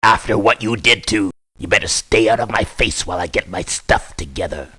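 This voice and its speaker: Surly streetwise mercenary, forceful and sharp. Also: male voice, fairly low pitch, normal speed, husky. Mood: surly, defiant. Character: tough, short-tempered male ex-convict mercenary, blunt and defiant. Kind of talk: video game voice acting, short barked one-liners.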